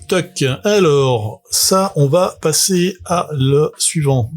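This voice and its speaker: A man's voice: a little high, slow, faint music behind. A man, rambling through a casual livestream full of fillers and self-mocking asides. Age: 40s. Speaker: tabletop game author and streamer.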